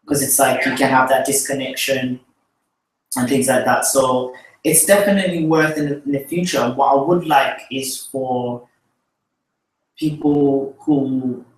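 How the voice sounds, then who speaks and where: A man's voice: medium pitch, distant mic. Man hosting a live call-in, echoey room. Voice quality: laughs often, halting restarts. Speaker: host of a live call-in show. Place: small studio with a plain backdrop.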